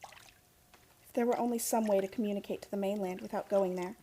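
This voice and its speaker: An actress playing an earnest young heiress in a radio drama, with urgent, impassioned delivery. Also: female voice, mid-range voice, fairly even intonation, moderate pace, dark tone, slight background noise, lightly acted. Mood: anxious.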